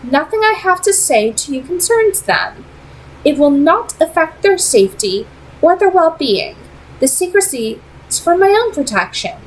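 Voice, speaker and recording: A woman's voice: very high-pitched, very animated, pitched above the performer's own voice, poor audio. A woman voicing a proud, secretive female vampire who leads a clan and speaks formally and persuasively in a read-aloud story. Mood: formal, guarded. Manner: formal, deliberate, dramatic phrasing.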